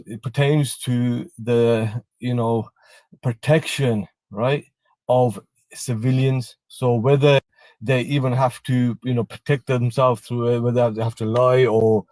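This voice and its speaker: Dark-voiced stammering man. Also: medium pitch, dry recording. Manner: halting, stammering restarts, flares up when interrupted. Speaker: debate caller. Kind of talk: heated live online debate.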